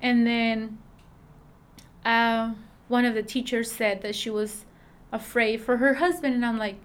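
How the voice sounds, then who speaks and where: Halting woman with a Mexican accent. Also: on the high side, steady tone, clear, resonant tone. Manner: halting, many fillers and restarts. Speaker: school staff member. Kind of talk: interview answer.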